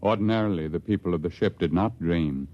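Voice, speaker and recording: A man narrating a 1950s radio drama in a measured, storytelling way. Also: low-pitched, slight background noise. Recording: dull lo-fi vintage radio recording with music.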